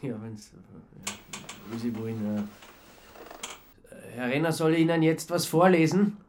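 An actor playing an awkward male petty offender on community service in a radio drama, halting, with an Austrian accent. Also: mid-range voice, animated, darkish tone, a little hiss or hum, close to an everyday voice. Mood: unsure. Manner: hesitant, halting.

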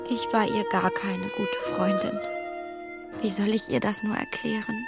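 An actress playing a proud, self-reproaching young gentlewoman with a haughty, emphatic manner in a German radio drama. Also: medium pitch, little pitch movement, unhurried, gravelly. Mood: remorseful, troubled. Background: music.

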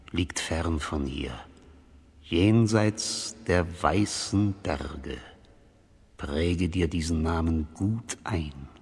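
Deep, echoing old blind prophet. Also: male voice, breathy edge, exaggerated voice. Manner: slow, solemn, portentous delivery. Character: old blind beggar prophet, solemn and mysterious. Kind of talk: German children's radio play acting. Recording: long echoing reverb.

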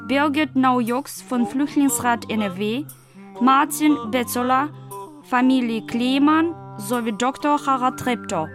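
A woman announcer reading out the credits of a radio production in a slow, measured way. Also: high voice. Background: music.

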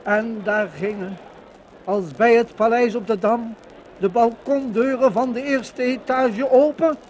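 A male narrator with a high voice reads a formal script for 1950s radio. He is solemn and declamatory. The old recording carries faint noise. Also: measured pace, darkish tone. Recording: noisy 1950 radio recording.

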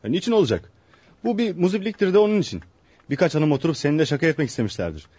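Rapid, raspy sceptical man. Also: fairly high pitch, very animated, warm tone, dry recording. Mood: sceptical, teasing. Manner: dismissive, argumentative. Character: sceptical, teasing male friend of a doctor. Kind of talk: old radio theater acting.

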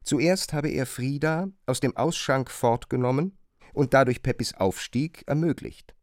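A man narrating a literary radio play from a script, in measured, carefully phrased long sentences on a close studio microphone. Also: mid-range voice, darkish tone, no room sound.

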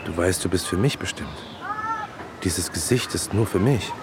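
An actor playing an obsessive male stalker who speaks softly, calmly and with creepy tenderness in a radio play. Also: deep voice, normal speed, heavy background noise, lightly acted. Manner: soft, intimate, creepily calm. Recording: with loud music underneath.

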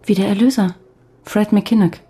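A woman's voice: medium pitch, steady tone, moderate pace, mellow, breathy edge, lightly acted. An actress playing a sober, direct female journalist who questions someone in a radio crime drama. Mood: probing, sober. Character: probing, matter-of-fact female journalist interviewing a victim.

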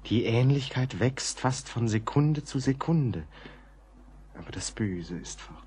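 An actor playing a courteous, rational gentleman writer in a radio drama, speaking in a formal, earnest way. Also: mid-range voice, animated, slow pace, breathy edge, exaggerated voice. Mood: gentle, solemn. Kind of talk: German radio play acting.

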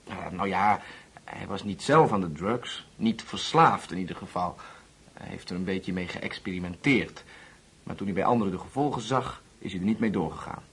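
A man playing a polite, lonely young orphan guest in a Dutch radio play, speaking haltingly and apologetically. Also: low-pitched, husky, heavily acted. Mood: earnest, regretful. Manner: halting, apologetic, with self-corrections.

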